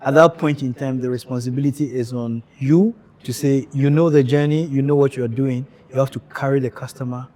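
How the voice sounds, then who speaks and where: A man hosting an interview podcast, talking in a measured, reflective way with frequent pauses. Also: mid-range voice, steady tone, mellow. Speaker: podcast host. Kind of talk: conversational interview.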